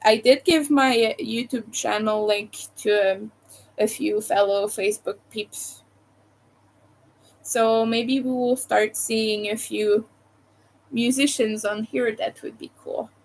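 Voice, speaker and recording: A woman's voice: fairly high pitch, vocal fry, a little hiss or hum, low-quality recording. A young adult woman with a Montreal accent, chatting loosely with viewers on her live stream, rambling and hesitant, with many fillers. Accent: Montreal Quebec. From Quebec, Canada.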